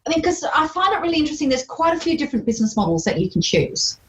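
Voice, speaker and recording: A woman hosts a podcast with bright enthusiasm, speaking directly to her audience. Her voice sits fairly high. The audio is a little muffled and lo-fi. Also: very fast, a little hiss or hum. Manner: upbeat, animated, addressing listeners directly. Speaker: podcast host.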